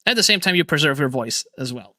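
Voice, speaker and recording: A man hosting a casual video chat stream, energetic and laughing often, with quick restarts. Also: a little high, no room sound, clean studio sound. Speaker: streamer and host.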